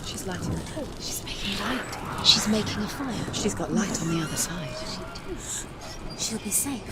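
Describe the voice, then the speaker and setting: A woman's voice: mid-range voice, expressive, normal speed, heavy background noise, natural voice. A woman voicing an anxious, taunting voice inside a warrior's head in a video game, jumping between whispers and urgent cries. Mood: curious, muttering. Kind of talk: fragmented game voice acting, commenting in third person.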